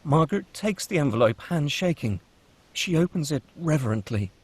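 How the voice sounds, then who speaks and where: A man reading out stage directions at a table read with a steady, descriptive delivery. His voice sits a little high and has a husky edge. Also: normal speed, no room sound.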